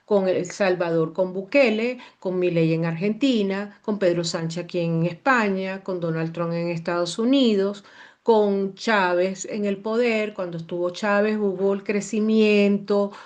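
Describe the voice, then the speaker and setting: A Venezuelan woman, an astrologer, explaining in an animated, digressive way and repeating words for emphasis. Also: mid-range voice, unhurried. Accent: Venezuelan Spanish. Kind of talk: casual explanatory talk.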